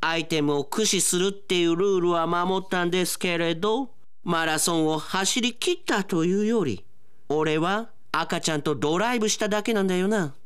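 An announcer playing an earnest young foreign runner in a comic radio drama, with a strange sing-song foreign lilt and slow, stretched words that jump up high. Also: male voice, fairly bright tone, clear, resonant tone. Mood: doubtful. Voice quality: odd foreign-sounding lilt, stretched drawn-out vowels. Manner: swooping high exclamations.

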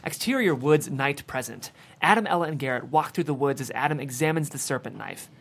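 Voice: mid-range voice, animated, fairly quick, creaky, a bit bright. A narrator reading the stage directions of a screenplay at a table read.

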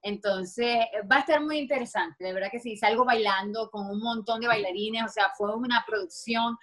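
Venezuelan woman, chatty and lively. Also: fairly high pitch, normal speed. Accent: Venezuelan, Maracaibo. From Venezuela (Maracaibo, Zulia). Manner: chatty, many fillers and restarts. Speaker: actress guest. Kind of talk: interview conversation.

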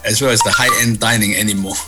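A man in his sixties from Hong Kong, answering interview questions in a measured way with many fillers and restarts. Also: fairly low pitch, fairly even intonation, over loud music. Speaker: guest, property executive.

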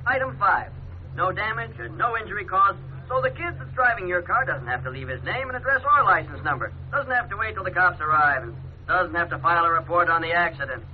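An actor playing a cocky, pompous know-it-all friend who talks breezy slang, in 1950s radio drama style. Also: male voice, high-pitched, expressive, fairly quick. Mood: smug, breezy. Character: cocky, glib know-it-all pal playing amateur lawyer. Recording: tinny, noisy 1950s radio recording.

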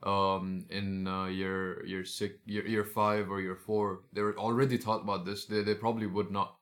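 A man's voice: sits low, flat delivery, a bit bright. A man in a casual group discussion, arguing his points emphatically and often jumping in to agree with the others. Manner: emphatic, quick agreeing interjections. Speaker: discussion participant.

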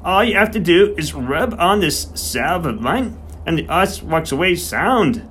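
A man's voice: high voice, big pitch swings, a little slow, a bit bright, a little hiss or hum, pitched above the performer's own voice, exaggerated voice. A man voicing a small, elderly, beery retired smallholder, who talks in a conspiratorial, rustic way. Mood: emphatic. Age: older. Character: wily beery little old male horse doctor.

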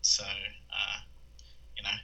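A man's voice: lowish voice, completely flat delivery, takes it slowly, gravelly, bright, forceful tone, husky, poor audio. A man in his thirties from New Zealand with a New Zealand accent, chatting casually with lots of fillers and restarts. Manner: rambling with many fillers and restarts, laughs easily. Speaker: guest. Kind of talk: casual conversation.

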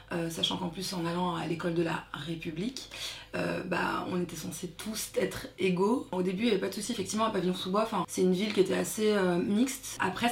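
A woman's voice: on the low side, creaky. A woman in her thirties, born in France to Congolese parents, reflecting on her schooling in a casual interview with many fillers. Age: 30s. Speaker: interviewee, daughter. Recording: echoey room with faint noise.

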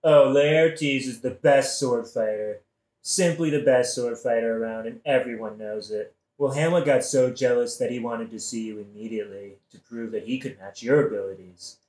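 A man's voice: mid-range voice, moderate pace, a little mellow, echoey room, natural voice, low-quality recording. A man playing a scheming, casually murderous king in a modern satirical audio play, talking in an evasive, colloquial, wheedling way. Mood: glib, persuasive.

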